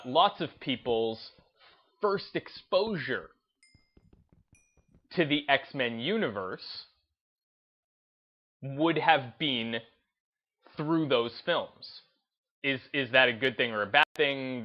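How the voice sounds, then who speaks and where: A man speaks at a markedly slow, deliberate pace with a medium pitch. He gives opinionated, off-the-cuff commentary like a gaming video host, landing his points emphatically. The recording is slightly dull and lo-fi. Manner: emphatic, deliberate, stressing points with short declarations.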